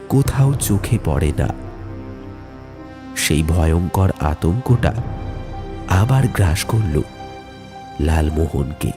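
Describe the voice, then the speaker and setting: A man narrating a scripted ghost story in a suspenseful, deliberate way with dramatic pauses. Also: mid-range voice.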